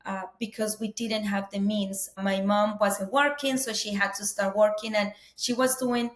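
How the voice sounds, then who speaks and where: A woman's voice: mid-range voice, fairly even intonation, moderate pace. A woman from Colombia, telling her story earnestly as a guest, often saying "you know". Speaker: guest, business owner. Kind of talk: interview conversation. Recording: roomy echo.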